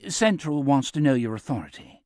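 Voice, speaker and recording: A man narrating a story, voicing a brisk, businesslike woman TV reporter with a British accent. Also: mid-range voice, animated, dark tone, dry recording, studio quality, natural voice.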